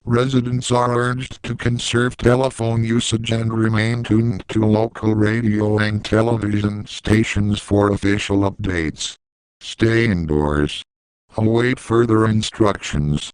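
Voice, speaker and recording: Low, clear male emergency announcer. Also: fairly even intonation, no echo, rough recording. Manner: formal, detached, measured official delivery. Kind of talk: scripted emergency alert narration.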